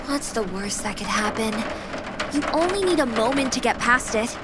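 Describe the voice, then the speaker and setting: A woman's voice: fairly high pitch, animated, moderate pace, very noisy, close to an everyday voice. A woman playing a teasing, sassy young female AI companion in a video game, with a playful, mock-formal manner. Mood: earnest, reassuring.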